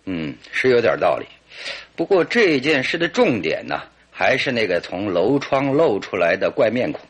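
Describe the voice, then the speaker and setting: An actor playing a shrewd, authoritative detective in a radio drama, deliberate and probing. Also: male voice, fairly low pitch, sing-song, breathy edge. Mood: firm.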